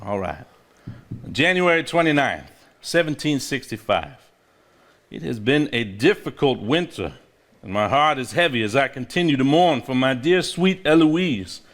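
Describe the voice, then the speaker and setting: A man acting in a live stage play as a sentimental reader of a grieving father's old diary, measured and formal. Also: mid-range voice, expressive. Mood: wistful, fond. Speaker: stage actor.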